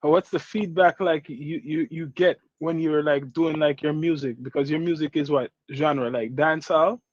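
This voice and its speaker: A man's voice: fairly high pitch, fairly even intonation, normal speed, muffled recording. A man hosting a casual podcast interview over a remote call, laid-back with slang greetings. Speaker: podcast host.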